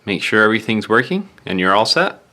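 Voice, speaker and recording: A man's voice: fairly low pitch, sing-song, brisk, sharp tone. A man presenting a DIY repair tutorial, talking through the steps in a casual, plainspoken, matter-of-fact way. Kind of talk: casual step-by-step tutorial narration.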